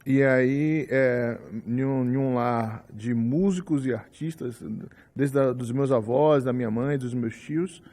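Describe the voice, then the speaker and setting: A man in his forties from Salvador with a Bahian accent, telling stories of his life on a podcast with lively acted-out quotes. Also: mid-range voice, slow. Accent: Bahian Brazilian Portuguese. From Salvador, Bahia, Brazil. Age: 40s. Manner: animated storyteller, acts out quotes. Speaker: musician guest. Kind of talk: casual podcast storytelling.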